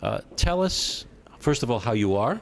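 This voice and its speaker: A man hosting a conversational interview, speaking deliberately and emphatically in short phrases, often repeating himself. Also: mid-range voice, big pitch swings, a little hiss or hum. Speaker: interviewer, TV host.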